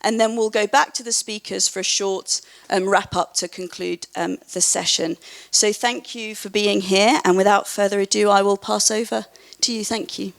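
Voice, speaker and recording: A woman with a slightly gravelly voice moderates a conference panel. She politely introduces the speakers and lays out the plan for the session in a formal tone. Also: mid-range voice, fairly even intonation, moderate pace.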